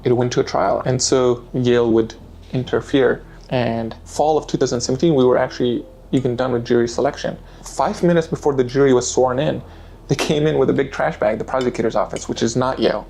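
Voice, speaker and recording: A man in his thirties from Afghanistan, calmly telling his story in an interview. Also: medium pitch, a little fast, creaky, slight background noise. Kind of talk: interview answers.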